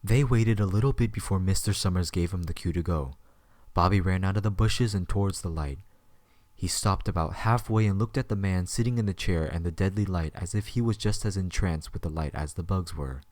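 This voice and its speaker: A man's voice: sits low, brisk, darkish tone, no echo. A man narrating a scripted story as the narrator of an audio drama.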